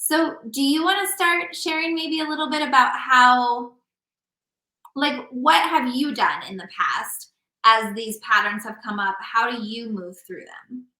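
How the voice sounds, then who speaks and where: A woman's voice: sits high, a little slow, bright, forceful tone. A woman hosting a relationship podcast as an intimacy coach, talking casually and guiding the talk, a little scattered. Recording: echoey room.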